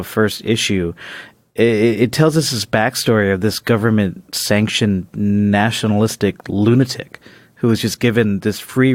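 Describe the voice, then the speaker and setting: A man's voice: fairly low pitch, no echo. A man co-hosting a podcast, giving casual, emphatic opinions about a comic book. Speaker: podcast co-host.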